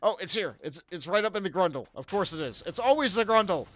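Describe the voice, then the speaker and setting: A young man talks through a game on a stream, his voice climbing and dipping with lively swings. He rambles, jokes at his own expense and breaks into laughter. The pitch sits a little high for a man. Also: fast. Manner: rambling, self-deprecating, laughs often. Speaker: streamer host. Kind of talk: casual gaming stream chat.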